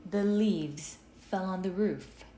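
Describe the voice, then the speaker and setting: A woman reading a children's story aloud in a deliberate, expressive way, drawing out the sound words. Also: mid-range voice. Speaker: storybook reader. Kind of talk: slow children's read-aloud. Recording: roomy echo, faint noise.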